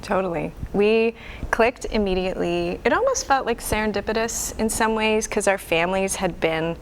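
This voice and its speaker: A woman's voice: mid-range voice, lively intonation, moderate pace, slight background noise, poor audio. A woman in her forties from the Toronto area, a folk singer answering interview questions with eager agreement. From Toronto area, Canada. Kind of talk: casual interview chat.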